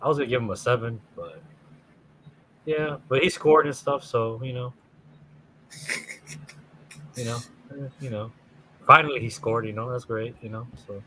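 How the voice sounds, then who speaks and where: A man's voice: medium pitch, normal speed, pauses often, bright, forceful tone, slight background noise, rough recording. A man on a casual football reaction panel, talking hesitantly with many fillers and restarts. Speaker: football fan show panelist. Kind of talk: casual match reaction chat.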